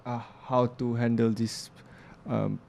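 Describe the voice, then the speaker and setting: A man's voice: medium pitch, level tone, slow pace, gravelly, darkish tone. A young man speaking as an interview guest, reflective and earnest, often restarting his sentences. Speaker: interview guest, filmmaker and music producer. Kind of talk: casual interview talk.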